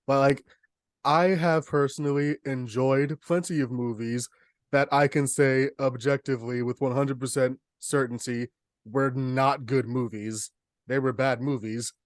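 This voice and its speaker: A young man talks as a podcast co-host, spinning humorous stories in a casual way. His voice sits at an ordinary male pitch, and the sound is dry with hardly any room in it. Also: dry recording. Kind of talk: casual chat, humorous storytelling.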